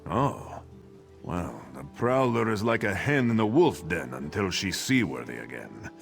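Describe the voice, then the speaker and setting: An actor playing a gruff, sardonic privateer sailor in a fantasy video game, salty and theatrical. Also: male voice, low-pitched, very animated, measured pace, faint music behind, heavily acted. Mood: grudging, sardonic. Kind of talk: scripted video game dialogue.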